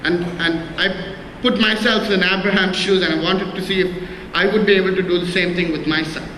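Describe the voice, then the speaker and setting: A man's voice: sits high, steady tone, sharp tone. A man giving his church testimony, earnest and plain, stumbling and repeating words as he goes. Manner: halting, many restarts and repeated words. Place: church hall. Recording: echoey hall with faint noise.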